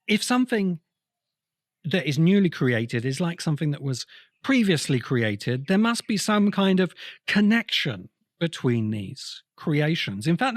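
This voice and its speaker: A man hosting a podcast, explaining his argument in a deliberate, emphatic way with pauses. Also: on the high side, dry recording, clean studio sound. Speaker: podcast host. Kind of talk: explanatory podcast monologue, essay-like commentary.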